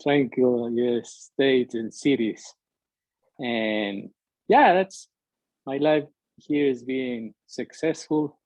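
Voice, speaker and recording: A middle-aged man from Ecuador with an Ecuadorian Spanish accent, a native Spanish speaker talking as a guest in halting English, searching for words with many fillers. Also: medium pitch, darkish tone, no room sound, poor audio. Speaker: interview guest, musician. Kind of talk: conversational interview, halting English.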